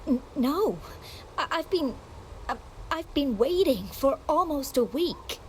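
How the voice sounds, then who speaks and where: A woman playing a frail, devoted young woman in a video game, speaking gently and haltingly. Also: high-pitched, animated, slight background noise. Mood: halting, worried. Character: frail, devoted young woman, ill former landscape painter.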